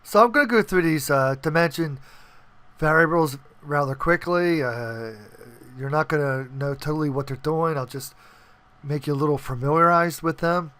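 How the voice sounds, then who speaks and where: Clear, higher-pitched man giving a casual tutorial. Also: normal speed, a bit bright. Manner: informal, checks in with listeners often. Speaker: host of coding tutorials. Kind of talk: casual screen-recorded programming tutorial narration. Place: indoors, voice-over on screen capture.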